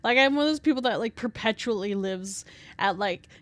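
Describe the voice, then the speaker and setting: A woman VTuber chatting casually while she streams a game, with a soft, small voice. She rambles, says "like" constantly and swears offhandedly, sitting very close to her mic at home. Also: fairly high pitch, fairly quick. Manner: rambling, fills every phrase with "like", swears casually. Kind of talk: casual gameplay stream chat. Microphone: desk mic placed very close to the face.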